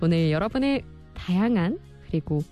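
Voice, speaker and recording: A woman hosting a radio music show, reading listener messages in a polite, expressive presenter style. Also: lowish voice, normal speed. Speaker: radio host and producer. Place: radio studio.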